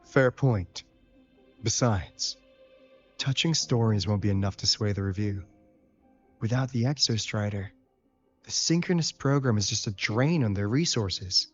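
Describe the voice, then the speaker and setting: An actor playing an easygoing, shrewd investigator in a video game, speaking in a casual, wry, husky voice. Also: male voice, lowish voice, measured pace, long pauses. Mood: wry, pondering. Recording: dull lo-fi game audio, faint music.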